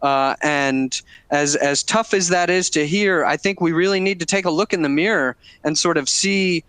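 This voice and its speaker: A man with a fairly high voice gives reflective panel remarks. He talks over a video call and hesitates a lot, with many fillers and restarts. The sound is dry and close, with no room echo. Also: normal speed, a bit bright, clean tone. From born in Germany, raised in the United States. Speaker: panel speaker.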